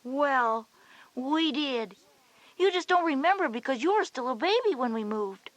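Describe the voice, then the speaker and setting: A woman's voice: high voice, lively intonation, a little slow, dry recording. A woman playing a confident schoolgirl big sister who explains things reassuringly in a scripted children's audio drama. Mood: reminiscing.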